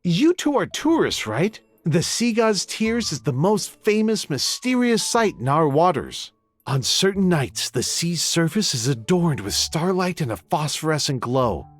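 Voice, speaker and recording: A high-voiced, eager male vendor in a video game. His delivery is lively and swooping, polite and salesy like a storyteller pitching to tourists, with music behind him. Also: a little slow. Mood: eager, wistful. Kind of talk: video game cutscene acting.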